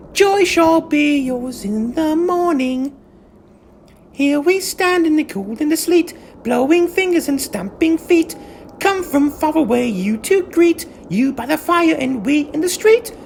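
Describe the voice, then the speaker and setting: Shrill little field mice carolling. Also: male voice, vocal fry, a little hiss or hum, heavily acted. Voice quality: shrill, small, falsetto.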